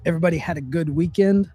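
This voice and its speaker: A man's voice: on the high side, mellow, breathy edge, no room sound. A young man hosting a casual livestream, rambling with frequent restarts and fillers, seated in a chair at home on a close mic, his voice going dry. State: voice getting dry. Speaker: livestream host, trigger tuner.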